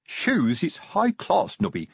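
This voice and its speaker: Plodding, earnest city-watch sergeant played by a man; slightly raised voice, husky, dry sound. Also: high voice, huge pitch swings, slow, no echo, pitched above the performer's own voice, heavily acted. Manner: earnest, common-sounding, trying to sound official. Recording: dull lo-fi recording.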